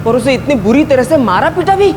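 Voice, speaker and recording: A man's voice: extremely high pitch, big pitch swings, brisk, heavy background noise. A man playing a protective working-class brother, insistent and protesting, in a TV crime drama. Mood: indignant, protesting. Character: indignant, protective brother of a wrongly held labourer. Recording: loud background music.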